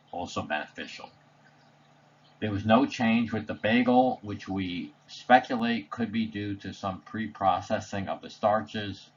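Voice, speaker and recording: A man reading a scripted slide presentation voice-over in a measured, formal, technical manner. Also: low-pitched, lo-fi sound, slight background noise. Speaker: presenter narrating study results.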